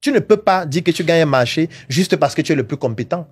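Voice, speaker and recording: A fairly high-voiced man holds forth on a podcast, pressing his points with one rhetorical question after another. His voice sounds dry and close, with little room around it. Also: lively intonation, quick pace, husky, dry recording. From Africa. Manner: emphatic, lecturing, rhetorical questions. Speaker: podcast guest, entrepreneurship coach. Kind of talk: animated podcast debate. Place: studio podcast set.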